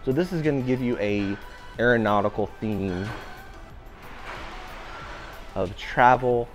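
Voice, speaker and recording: A man giving an upbeat walking tour of a cruise ship, his voice a little raspy today. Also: medium pitch, slow pace, faint music behind. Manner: upbeat, enthusiastic guiding. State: slightly raspy voice. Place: indoor public areas of a cruise ship.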